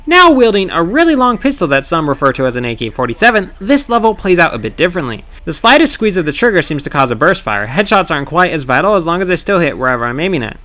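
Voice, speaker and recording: Bright, lively sarcastic game narrator man. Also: on the high side. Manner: wry, sarcastic, playful voice-over with dramatic pauses. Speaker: gaming channel host. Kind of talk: scripted comedic game commentary narration.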